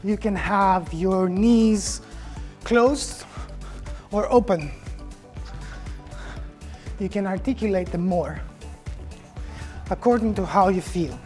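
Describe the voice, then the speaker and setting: A man's voice: sits high, slow pace, pauses often, a little mellow, soft background music. A man with a Greek accent, a choreographer giving scripted tutorial instruction. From Greece.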